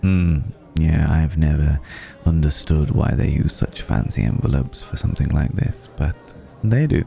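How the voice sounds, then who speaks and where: A man playing a suave, courteous vampire in an intimate ASMR roleplay, speaking in a refined, formal, gently amused way with lingering pauses. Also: rumbling low, creaky, faint music behind, full character voice. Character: suave, courteous male vampire dining with a mortal guest.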